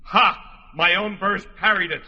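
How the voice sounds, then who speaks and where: An actor playing an arrogant, power-hungry young sorcerer with a haughty, defiant manner. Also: male voice, sits high, steady tone, measured pace. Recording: long reverb, dull radio play with music.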